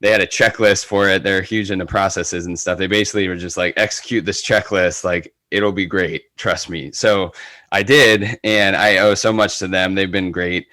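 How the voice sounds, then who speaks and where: A man with a low, clean, resonant voice talks as a podcast guest in a dry, close recording. He is earnest and enthusiastic, speaking in a casual conversational style. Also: bright, forceful tone, no echo. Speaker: podcast guest, real estate agent. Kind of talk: casual interview talk.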